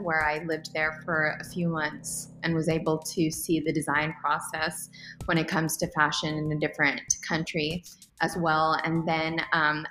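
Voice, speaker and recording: A woman's voice: low-pitched, pushed and bright. A young adult woman, a podcast guest, chatting in an upbeat, rambling way with fillers. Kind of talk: casual interview answers. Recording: roomy echo with faint music.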